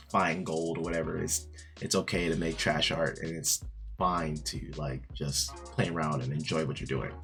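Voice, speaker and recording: Low, freshly woken raspy man. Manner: rambling, many fillers and restarts. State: raspy from just waking up. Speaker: art YouTuber. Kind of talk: casual book review vlog. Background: soft background music.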